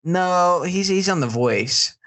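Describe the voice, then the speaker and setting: A man's voice: high voice, lively intonation, slow, fairly bright tone. An American man speaking as a panelist on a comedic talk show, in casual and opinionated banter.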